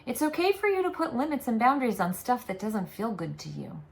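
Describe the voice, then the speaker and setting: A woman channeling spiritual guidance, speaking as a collective "we" in a slow, deliberate, soothing way. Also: on the high side, big pitch swings, gravelly.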